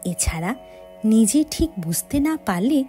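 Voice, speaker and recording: A woman narrating a devotional story for children in a gentle, measured reading style with soft breathy passages. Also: a little high, sing-song. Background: faint background music.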